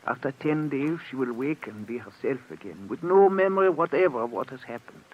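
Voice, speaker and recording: An actor playing a sinister, courteous dealer in strange bargains, formal and measured, in old-time radio drama style. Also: male voice, medium pitch, animated, close to an everyday voice. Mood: coolly ominous. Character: sinister, courteous male dealer in body exchanges. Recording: muffled, distant vintage radio recording.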